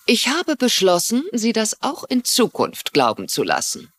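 A woman's voice: a little high, huge pitch swings, unhurried, heavily acted. A calculating culprit woman, bitter, matter of fact, tv crime drama, voice moves around a lot. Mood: matter-of-fact confession. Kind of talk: German-dubbed TV mystery drama.

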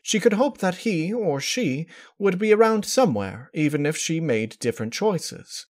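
A man's voice: on the high side, big pitch swings, moderate pace, voice pushed up. A man voicing a refined female cartoon unicorn dressmaker, prim, polite and dramatic in manner. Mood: gentle, chatty.

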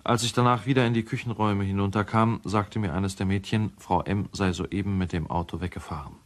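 An actor playing a sober, troubled male lawyer who gives a measured, formal account in a radio drama. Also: lowish voice, steady tone, close to an everyday voice. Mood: sober, factual. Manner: measured, matter-of-fact, formal.

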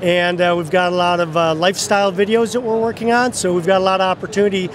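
A man's voice: high voice, steady tone, fast talker. A man in his sixties casually explaining as an exhibitor in an interview, friendly, with frequent hesitations. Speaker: case company exhibitor. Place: exhibit booth in large arena. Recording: clear expo-hall background noise.

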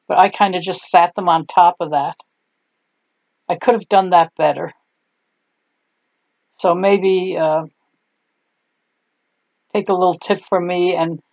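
A woman's voice: lowish voice, darkish tone, no room sound, lo-fi sound. An older woman, German her first language, chatting in a homey, rambling way while she shows a clay craft, tired at the end of the day. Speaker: craft tutorial host. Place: home craft table, indoors.

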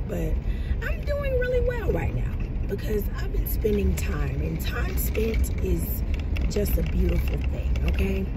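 A young woman, chatting casually to the camera from her car and calling viewers y'all. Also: lowish voice. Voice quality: raspy. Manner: chatty, addresses viewers as y'all, lively hand gestures. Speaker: vlogger. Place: parked or driving car, indoors of vehicle. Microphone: phone camera on dashboard. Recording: car noise behind.

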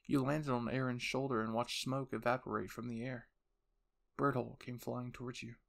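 A man with a medium-pitched voice narrates a scripted roleplay. He tells the story in the second person, with dramatic storytelling delivery. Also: level tone, moderate pace, vocal fry, fairly bright tone. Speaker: narrator and channel host.

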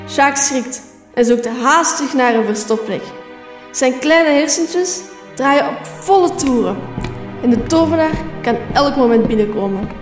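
A woman's voice: high voice, expressive, measured pace. A woman reading scripted narration for a radio play. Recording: echoey room, faint music.

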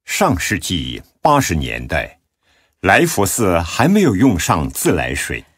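A man narrating a scripted story as an audiobook narrator, in a deep, husky voice. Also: wildly swooping pitch, measured pace, fairly bright tone, no echo, studio quality.

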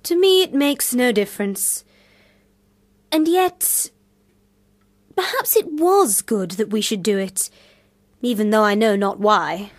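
Actress as a proud, blunt literary sister. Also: female voice, mid-range voice, slow, long pauses, natural voice. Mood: resigned. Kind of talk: period radio drama acting.

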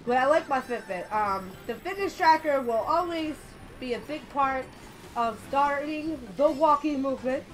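A young woman with a slightly raspy voice from being sick, chatting casually and swearing as she plays a racing game on a headset mic. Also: high voice, normal speed, slight background noise, rough recording. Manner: chatty, swears often, loud outbursts at the game. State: a little sick. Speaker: gaming channel host. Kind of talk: casual let's-play commentary.

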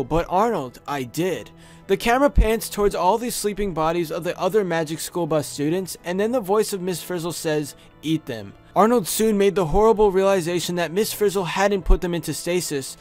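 A man with a noticeably high voice narrates scripted horror stories as a video host. He speaks close to the microphone. Faint music plays behind him. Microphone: close studio USB microphone.

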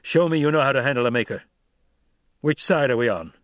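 A man's voice: on the high side, expressive, brisk, no echo, studio quality, pitched above the performer's own voice, close to an everyday voice. A man reading as a harsh, commanding desert leader. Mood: stern. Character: stern male desert troop leader, middle-aged, blunt. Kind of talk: audiobook character reading.

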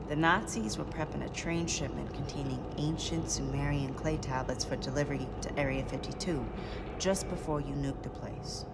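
A low-voiced American woman, a tough resistance leader from a video game. She gives orders bluntly and conspiratorially, with clear background noise from a ship. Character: tough, no-nonsense female resistance leader briefing a soldier.

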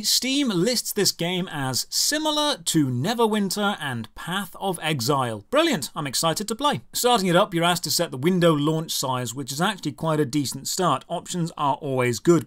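A man's voice: fairly high pitch, fairly bright tone. A man hosting a video game review, reading scripted comedic narration with a dry, sardonic and emphatic delivery.

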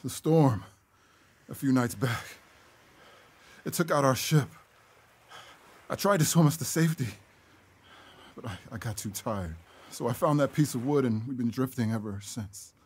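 A male actor playing an exhausted, kindly village healer who survived a shipwreck, humble and halting, in an audio drama. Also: medium pitch, a little mellow, slightly rough. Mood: weary.

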